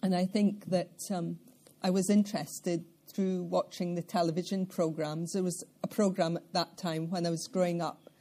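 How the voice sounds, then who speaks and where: Low, mellow-voiced older Scottish woman. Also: little pitch movement. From central Scotland. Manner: measured, reflective, with occasional soft laughs and throat clearing. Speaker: interviewee. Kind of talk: life-story interview.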